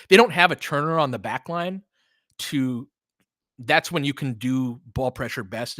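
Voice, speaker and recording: A man's voice: medium pitch, a bit bright, dry recording, studio quality. A man doing casual live basketball play-by-play and analysis, chatting informally with listeners and urging the players on like a fan. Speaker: host of a basketball film-room audio stream.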